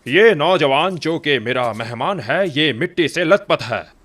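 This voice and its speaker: A man's voice: a little high, expressive, measured pace, a bit bright. A man voicing a sly older male king of the gods in a dubbed game cutscene, wry and commanding.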